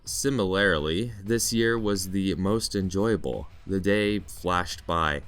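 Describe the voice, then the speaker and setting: A man's voice: sits low, takes it slowly, a bit bright, clean tone. A Canadian man narrating a scripted vlog in a playful storytelling way with mock-dramatic flourishes. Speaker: vlog narrator, teacher in Japan.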